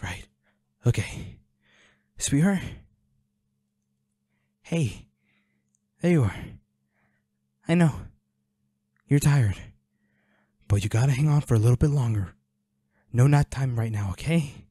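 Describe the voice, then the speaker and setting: Guy doing a protective worried boyfriend in an audio roleplay, mellow voice, super dry close sound, pleading and soothing. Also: medium pitch, lively intonation, slow, long pauses, husky, no echo. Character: protective, worried boyfriend tending his injured werewolf partner. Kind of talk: intimate audio roleplay acting.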